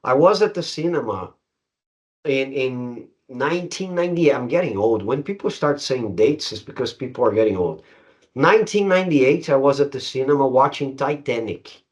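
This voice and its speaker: A man's voice: medium pitch, expressive, moderate pace. A middle-aged man from Brazil, a native Portuguese speaker, hosting a podcast in English with an emphatic, storytelling way of talking. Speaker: podcast host; English teacher. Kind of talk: conversational storytelling and interviewing.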